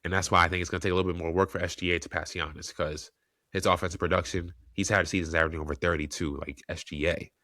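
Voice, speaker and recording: A man with a very low voice that still carries a bright, forceful edge, sounding congested because he is under the weather. He hosts his own sports podcast, arguing his rankings in an opinionated way that circles back on itself. The recording is dry and close, with no room sound. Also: fairly even intonation, fast talker, no room sound. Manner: opinionated, thinking aloud with restarts. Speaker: podcast host. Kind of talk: solo sports commentary podcast.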